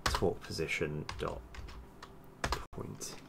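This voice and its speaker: A man's voice: a bass voice, flat delivery, slow, creaky, very noisy. A man live-streaming as a game developer, casually thinking aloud and muttering bits of code to himself in fragments. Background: background music, computer keyboard typing.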